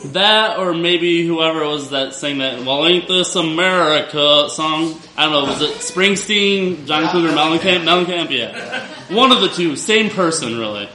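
Loud, wry man telling a story in an echoey room. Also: fairly high pitch, normal speed. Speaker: storyteller at a live event. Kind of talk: personal storytelling to a live audience. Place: room with a live audience. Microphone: not using the microphone. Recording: roomy live venue sound.

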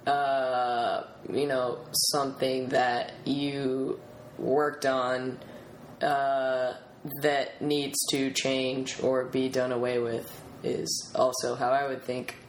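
A man's voice: mid-range voice, completely flat delivery, slow pace. A man hosting a podcast in a casual interview style, with a tentative, wordy way of talking. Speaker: podcast host. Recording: roomy echo, faint background noise.

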